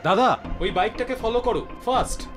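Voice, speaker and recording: An actor playing a bold, protective young police inspector with a commanding, swaggering manner in a dramatized story. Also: male voice, high voice, lively intonation, normal speed. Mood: assured, brisk. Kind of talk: dubbed animated crime story dialogue. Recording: music behind the voice.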